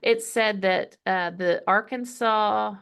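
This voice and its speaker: A woman's voice: mid-range voice, steady tone, slow, creaky, fairly bright tone, clean tone. A woman co-hosting a podcast, telling a story in casual conversation with chatty, wry asides. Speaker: podcast co-host.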